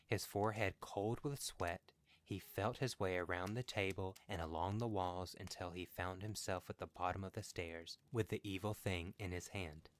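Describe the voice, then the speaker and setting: Low-pitched man narrating a horror story. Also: fairly even intonation, normal speed, slight background noise. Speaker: podcast host reading a story.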